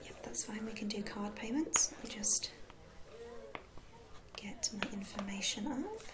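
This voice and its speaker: A British woman whispering softly and breathily in an ASMR roleplay, gently reassuring a customer like a receptionist. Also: mid-range voice, animated, measured pace, heavy background noise. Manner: gentle, reassuring customer-service patter with endearments. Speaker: ASMR performer playing a club receptionist.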